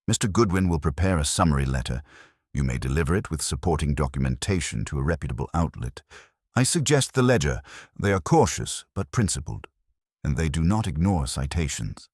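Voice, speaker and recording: A man doing a stout, imperious older detective, formal and exact in every word. The voice sits extremely low and husky, yet moves with lively shifts of pitch. It is recorded close, with no room sound. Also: dry recording, full character voice. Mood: dry lecturing. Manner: precise, measured, formal diction.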